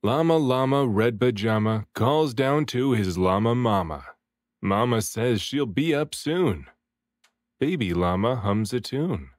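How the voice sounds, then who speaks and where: A man reads a picture book aloud for young children. His mid-pitched voice moves slowly through the rhymes with deliberate rhythm and expression, recorded close and very dry. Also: lively intonation, no room sound. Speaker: children's book reader, voice actor.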